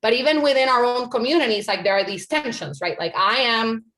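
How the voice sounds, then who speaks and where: A woman's voice: a little high, fairly quick, fairly bright tone. A woman from Puerto Rico answering questions on a panel, earnest and conversational, often adding "like" and "right?". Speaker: panel speaker.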